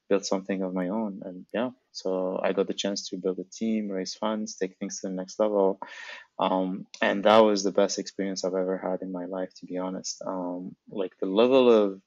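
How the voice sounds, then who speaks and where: A man's voice: sits low, darkish tone, no room sound. A middle-aged man from Algeria giving casual, informal interview answers as a guest, on slightly dull audio. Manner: informal, frequent filler "like". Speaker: podcast guest, startup founder.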